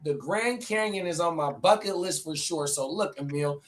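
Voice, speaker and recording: High-voiced hype man in his forties. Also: moderate pace, fairly bright tone. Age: 40s. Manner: hype-man interjections, repeats "oh, my mama". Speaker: podcast host. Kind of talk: casual profane banter.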